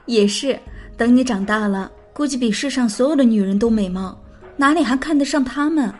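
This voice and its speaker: A woman's voice: on the high side, normal speed, close to an everyday voice. An actress playing a playful, caring, feisty young woman in an audiobook drama, teasing and coaxing like a big sister. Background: background music.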